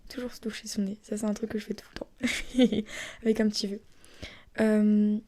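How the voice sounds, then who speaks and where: A young woman from France chatting casually and rambling on her own podcast, often dropping into soft whispery asides. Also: medium pitch, little pitch movement, moderate pace. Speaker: podcast host. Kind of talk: casual solo podcast chat.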